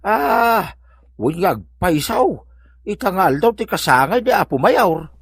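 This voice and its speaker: A man playing a puzzled old village neighbour in an Ilocano comedy drama, with a husky voice. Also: high voice, big pitch swings, unhurried, fairly bright tone, dry recording, full character voice.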